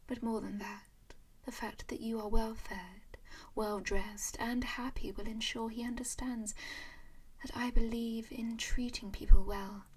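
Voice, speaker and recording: A woman voicing an ancient, genteel female vampire in an ASMR roleplay, whispering softly and dotingly in an unhurried way. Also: fairly high pitch, fairly even intonation, poor audio. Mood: soothing. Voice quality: whispered, breathy.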